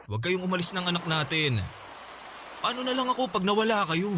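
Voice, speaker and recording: Pleading, remorseful Filipino husband, highish voice. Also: male voice, very animated, brisk, noisy background. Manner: pleading, apologetic. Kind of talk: Filipino radio drama acting. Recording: music bed under the dialogue.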